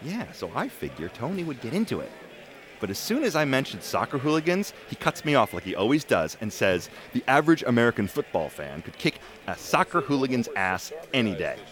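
A man's voice: mid-range voice, very animated, fairly quick, a bit bright. A man playing a blunt, chatty soccer supporter in a casual audio drama. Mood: indignant storytelling. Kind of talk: casual, naturalistic drama dialogue. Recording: busy crowd and traffic noise.

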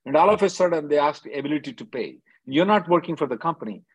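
Husky man, brisk and emphatic. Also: on the high side, animated, dry recording. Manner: brisk, emphatic, repeats phrases for stress. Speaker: immigration lawyer hosting a call-in. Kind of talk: advising callers on a conference call.

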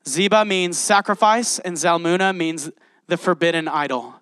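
High-voiced man preaching, dry sound. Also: moderate pace, fairly bright tone, dry recording. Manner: passionate, addresses congregation directly. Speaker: pastor. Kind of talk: sermon with Bible reading.

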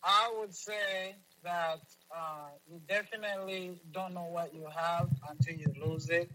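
Bright, high-voiced man from Zambia. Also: fairly even intonation, a little hiss or hum, rough recording. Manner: halting, many restarts and fillers. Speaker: guest. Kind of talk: interview answers.

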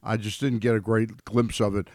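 A man's voice: lowish voice, little pitch movement, moderate pace, a little mellow, no echo. A man commenting on sports in a conversational way in a radio studio.

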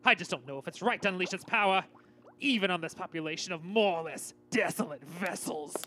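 Actor voicing unhinged teen boy villain, grandiose, theatrical, bright tense tone. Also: medium pitch, fairly quick, close to an everyday voice. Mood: scheming. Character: manic scheming teenage boy villain. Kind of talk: comedy audio sketch.

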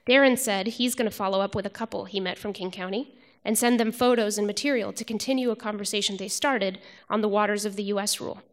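A woman reading a prepared essay aloud at a public event in an even, measured way. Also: medium pitch. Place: arts center event hall.

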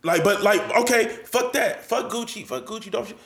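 A man's voice: sits high, normal speed, creaky, fairly bright tone, roomy sound. A man from Columbus, Ohio, co-hosting a casual chat, slangy and profane, full of "like" and "you know".

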